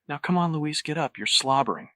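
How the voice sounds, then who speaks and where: A man's voice: fairly high pitch, lively intonation, a little fast, pushed and bright, no room sound, studio quality, voice pushed up. A man voicing a vain, exasperated young man with a tense, controlled manner that tips into hissed, snarled menace. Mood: desperate, exasperated.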